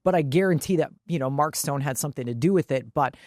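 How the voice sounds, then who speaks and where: A woman's voice: low-pitched, fast, a little mellow, no room sound, clean studio sound. A woman co-hosting a sports podcast, chatting casually in a blunt, emphatic way with frequent swearing.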